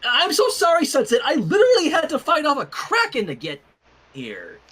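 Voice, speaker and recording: A man's voice: very high voice, huge pitch swings, normal speed, sharp tone, voice pushed up, full character voice. A man voicing a frazzled, rambling young female unicorn princess in a fan story reading, chatty and apologetic. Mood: flustered, apologetic.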